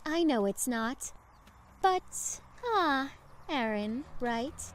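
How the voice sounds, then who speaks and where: A woman's voice: high voice, expressive, full character voice. A woman playing a determined but fragile young survivor leader in a dramatic audio drama. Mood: firm, controlled. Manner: insistent, halting when shaken.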